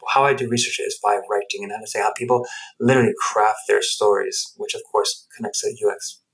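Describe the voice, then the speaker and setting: A man with a lowish voice, raised speaking both Spanish and English, tells his life story as a podcast guest. He talks quickly, circling back and restarting his sentences as he thinks aloud. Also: steady tone, vocal fry, fairly bright tone, rough recording. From United States. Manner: rambling, reflective, many restarts. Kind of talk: reflective storytelling interview. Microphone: headset earphones.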